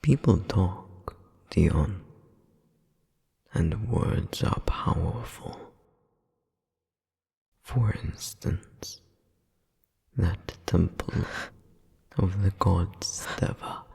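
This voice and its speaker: A man playing a cold, menacing royal demon brother in an audio roleplay, haughty and deliberate, with a breathy, husky voice. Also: low voice, animated, warm tone, in a whisper, exaggerated voice. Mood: veiled menace. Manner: haughty, slow, deliberate, veiled threats. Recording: long reverberant hall echo.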